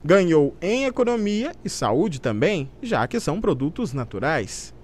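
Brazilian male reporter, animated and high-pitched. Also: unhurried, slight background noise. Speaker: TV news reporter. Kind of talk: scripted news report narration.

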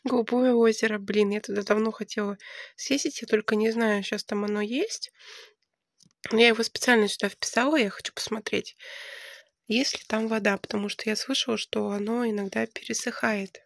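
A young woman with a slightly hoarse voice from a cold, chatting casually as she hosts a travel vlog and explains plans to a companion. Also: fairly high pitch, steady tone, fairly quick, no room sound.

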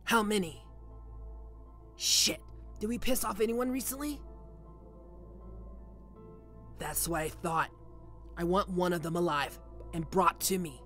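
A man playing a ruthless, cocky mob boss with a protective streak in an ASMR roleplay drama, commanding and taunting. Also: sits high. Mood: urgent, commanding. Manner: commanding, taunting, slow deliberate delivery. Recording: loud music bed under voice. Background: music.

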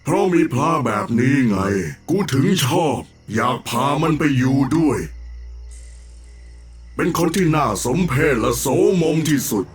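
A man performs as a demon abbot from a horror cartoon, full of menace and contempt. He declaims crudely and scornfully at a middling male pitch. Faint music plays behind him. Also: lively intonation, unhurried, lightly acted. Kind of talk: animated horror story acting.

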